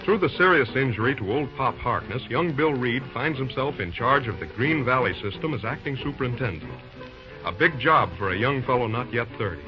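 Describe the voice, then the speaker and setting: A man's voice: mid-range voice, lively intonation, a little fast. A man announcing and narrating an old-time radio serial in a scripted style. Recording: dull old radio recording. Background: music.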